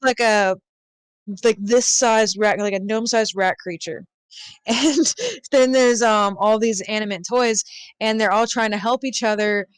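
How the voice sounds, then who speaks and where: A woman's voice: mid-range voice, steady tone, unhurried, smooth, no room sound. A woman chatting casually as a guest, explaining things in an informal way. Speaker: talk show guest, dog expert. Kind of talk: casual chat.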